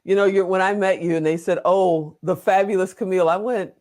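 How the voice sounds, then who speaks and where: A man's voice: high-pitched, fairly even intonation, fairly quick, darkish tone. A man interviewing a guest as a talk show host, chatty, informal and joking in his delivery.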